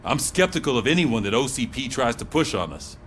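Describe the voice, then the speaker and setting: A man's voice: medium pitch, big pitch swings, brisk, a little hiss or hum, close to an everyday voice. A man playing a skeptical, probing police colleague in a video game, talking in a wary conversational way. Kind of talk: video game cutscene acting.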